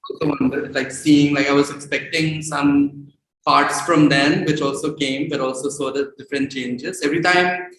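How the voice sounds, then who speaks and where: A man's voice: a little high, fairly even intonation, normal speed, a bit bright. A man giving informal audience feedback, searching for words as he goes. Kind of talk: informal spoken tribute. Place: indoor event space, at a laptop. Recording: echoey room, clearly noisy with clicks.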